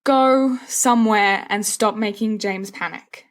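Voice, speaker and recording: A woman's voice: medium pitch, measured pace, close to an everyday voice. A woman voicing a sensible teenage schoolboy in a story, dry, weary and reproving.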